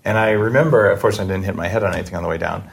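A man's voice: low voice, rapid-fire, vocal fry, a little hiss or hum. A man hosting a podcast interview in a casual, informal conversational way.

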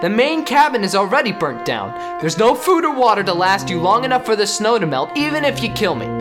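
A man's voice: sits high, sing-song, a little fast, music in the background. A man playing a taunting, calculating murderer in a radio play, smug and menacing as he goads his opponent. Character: taunting, calculating male killer villain. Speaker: actor in an audio drama. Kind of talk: radio theater acting.

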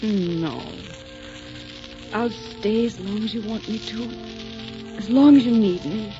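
A woman's voice: medium pitch, slow pace, natural voice. A proud, passionate young heroine acted by a woman in a 1940s radio romance. Her delivery is emotional and melodramatic, on a dull old recording with music behind. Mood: tender, loving.

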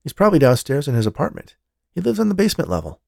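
A man's voice: on the high side, huge pitch swings, racing, gravelly, a little mellow, dry recording, clean studio sound, voice pushed up, heavily acted. A man playing a bewildered young male artist, who sounds startled and puzzled.

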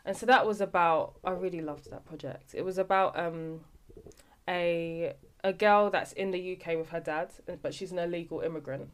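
A young woman chats as a podcast guest about her acting projects. Her voice sits a little low for a woman. She talks freely and often stops and restarts a sentence as she explains. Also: steady tone, moderate pace, clear, resonant tone. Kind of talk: casual chat.

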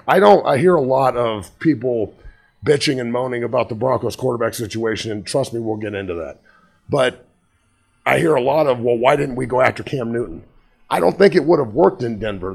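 A man, a former player and football show host, talks through the week's games in a casual, blunt way, with a raspy, worn-out voice. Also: medium pitch. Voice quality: raspy, gravelly. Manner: blunt, conversational, frequent restarts and swearing. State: hoarse from yelling. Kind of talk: casual sports commentary.

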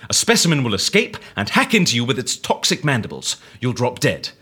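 An actor playing a brisk, flamboyant fixer who stages fake deaths, pressing and exclamatory in a comedic audio drama. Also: male voice, mid-range voice, wildly swooping pitch, bright, forceful tone, breathy edge, exaggerated voice. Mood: gleeful scheming.

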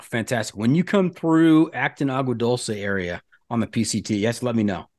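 An American man with a medium-pitched voice hosts a relaxed podcast interview in a dry studio. He puts questions with curiosity and banters casually as he goes. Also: fairly quick, dry recording. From United States. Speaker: podcast host.

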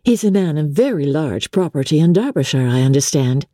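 Woman voicing a spirited young gentlewoman, lively pitch. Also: brisk, no room sound, studio quality. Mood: polite curiosity. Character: spirited, outspoken young English gentlewoman, witty. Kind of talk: dramatic audiobook character reading.

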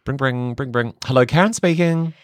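A man in his thirties with a New Zealand accent, chatting as a comedian guest, animated and self-mocking. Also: medium pitch, normal speed, no echo. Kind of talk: casual chat.

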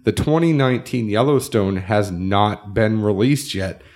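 Nasal, low-voiced man hosting a podcast. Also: unhurried. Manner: relaxed, chatty, reads ad plugs loosely. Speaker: podcast co-host. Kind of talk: casual podcast chat.

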